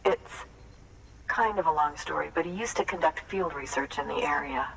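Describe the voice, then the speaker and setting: An actress playing an earnest, grieving woman researcher in a video game cutscene, speaking in a halting, heartfelt way. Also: deep voice, creaky, fairly bright tone, distant mic, a little hiss or hum, rough recording. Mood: earnest, pleading. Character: earnest, grieving woman researcher carrying on her late father's work.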